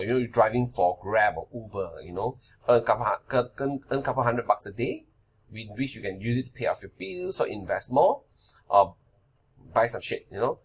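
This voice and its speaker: Husky guy around forty explaining investing off slides, keeps saying "right? okay?". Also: lowish voice. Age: 40s. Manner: loose, repetitive, checks in with "right?" and "okay?". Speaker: finance presenter. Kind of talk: casual slide-based money lesson.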